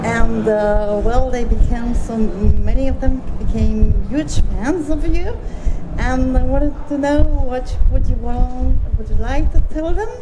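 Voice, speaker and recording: Slow, giggly woman reporter at a festival. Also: high voice. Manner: nervous, giggling, searching for words. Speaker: journalist asking a question. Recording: clear outdoor background noise.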